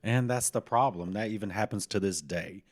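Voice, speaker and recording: Man podcast co-host, casual, quick with quips. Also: fairly low pitch. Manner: jokey, reacts with quips and mock voices.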